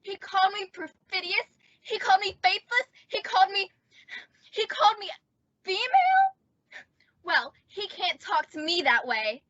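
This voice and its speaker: Lovelorn, histrionic teenage girl for radio comedy played by a woman; very high, bright voice, indignant delivery. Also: normal speed, long pauses. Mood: wounded indignation. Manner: histrionic, emphatic, wailing. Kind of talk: old-time radio comedy acting. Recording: dull, lo-fi radio-play audio.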